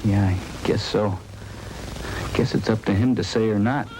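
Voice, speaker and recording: Anxious, folksy young husband, played by a male actor, slightly low and hesitant, vintage radio drama. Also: moderate pace, close to an everyday voice. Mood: anxious, hopeful. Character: anxious young husband awaiting his wife's childbirth. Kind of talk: 1950s radio drama acting. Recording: noisy old-time radio recording.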